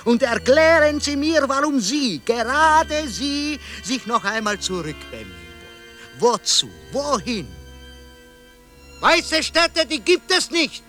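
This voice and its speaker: Agitated, bright-voiced despairing man. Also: extremely high pitch, big pitch swings, takes it slowly, faint music behind, exaggerated voice. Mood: desperate pleading. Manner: agitated, theatrical, accusing. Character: bitter, despairing man pleading with his maker. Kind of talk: radio drama acting.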